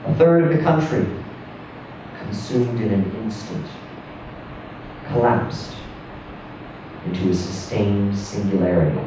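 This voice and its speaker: Guy reading a short story out loud all dramatic, bit far from the mic, faint noise, pauses for effect. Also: low voice. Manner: theatrical, emphatic, with deliberate pauses and swells. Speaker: story reader. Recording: distant echoey room with faint noise.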